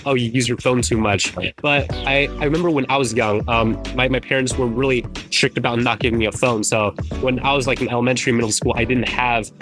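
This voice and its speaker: A young interviewer whose first language is Mandarin, talking casually with lots of fillers. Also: medium pitch. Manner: casual, filler-heavy with "like" and "you know".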